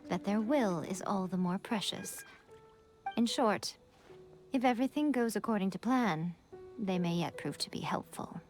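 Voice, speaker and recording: A woman voicing a sly, composed shrine maiden in a video game, with measured, elegant, teasing delivery and a superior air. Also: medium pitch, expressive, a little mellow, lightly acted. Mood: composed, calculating. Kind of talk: English video game voice acting. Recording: studio voice over background music.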